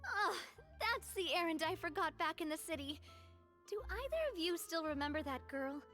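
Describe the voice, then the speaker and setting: A woman's voice: very high voice, expressive, moderate pace, a bit bright, faint music behind. A young woman voicing a friendly, assured gang leader in a video game, speaking earnestly.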